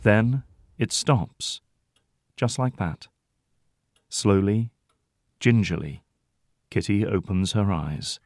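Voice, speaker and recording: A man's voice: on the low side, lively intonation, slow pace, pauses often. A man delivering dramatic scripted narration as the narrator of an audio drama.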